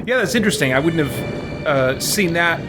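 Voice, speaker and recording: A man's voice: fairly high pitch, animated, normal speed. A man giving casual, reflective let's play commentary, tentative and thinking aloud, with game music behind him. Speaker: game streamer.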